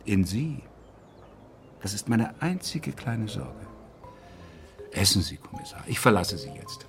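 An actor playing a self-assured, eccentric male doctor in a radio crime drama, giving clinical pronouncements with deliberate pauses. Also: lowish voice, sing-song, vocal fry, faint music behind, full character voice. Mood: confident, musing.

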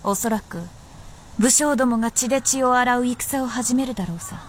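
An actress playing a proud, world-weary princess of feudal Japan in a radio drama, speaking in a lordly, knowing, archaic way. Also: female voice, on the high side, slight background noise, close to an everyday voice. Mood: grave, wistful. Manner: lordly, archaic masculine phrasing, measured and knowing. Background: music.

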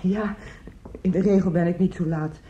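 A woman's voice: lowish voice, fairly even intonation, normal speed, mellow, dull audio, lightly acted. An actress playing a fretful, meddling older woman in a radio drama, plaintive and garrulous. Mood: insistent, confiding. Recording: echoey, noisy old radio drama recording.

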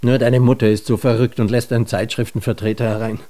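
A man's voice: mid-range voice, flat delivery, quick pace, dark tone, lightly acted. An actor playing a bitter, grumpy older father who feels discarded, sullen and sardonic, in a naturalistic radio drama.

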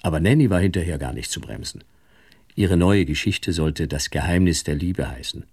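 An actor playing a worldly, detached married man, narrating and speaking in a radio drama with a husky voice. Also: low-pitched, lively intonation, normal speed, darkish tone, no room sound, clean studio sound, exaggerated voice. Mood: reflective. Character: worldly, detached married man recounting his own story. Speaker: narrator and male lead. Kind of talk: first-person radio drama narration and dialogue.

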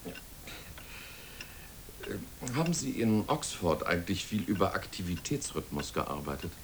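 Senior scientist, gravelly low voice, lively intonation, genteel, deliberate, radio drama, hissy recording. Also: male voice, exaggerated voice. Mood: keen, pleased. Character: courteous titled senior scientist, enthusiastic about insects. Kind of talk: radio play acting.

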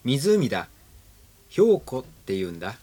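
A man's voice: mid-range voice, huge pitch swings, a little slow. A man playing a casual, nostalgic young man in an amateur radio drama. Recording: amateur radio drama under loud music.

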